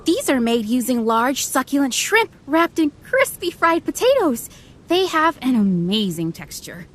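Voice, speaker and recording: A woman's voice: high-pitched, sing-song, unhurried, a little hiss or hum. A woman voicing a polite young female server at an inn, describing dishes to customers in a video game. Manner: polite, attentive, descriptive sales patter.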